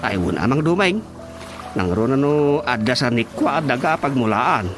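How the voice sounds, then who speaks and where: An actor with an Ilocano accent playing a chatty, out-of-work brother-in-law in a comic radio drama. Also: male voice, medium pitch, lively intonation, moderate pace, lightly acted. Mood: complaining. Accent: Filipino, Ilocano. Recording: radio drama with music underneath.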